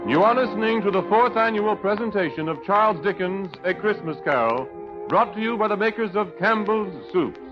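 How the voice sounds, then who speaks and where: A man's voice: high-pitched, animated, normal speed. A man making formal announcements in 1930s radio style, with music behind him on a muffled broadcast.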